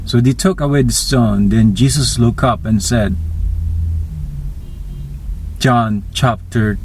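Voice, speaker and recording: A man reading scripture as a dramatized narration, deliberate and expressive. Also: mid-range voice, a little hiss or hum, rough recording. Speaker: scripture reader.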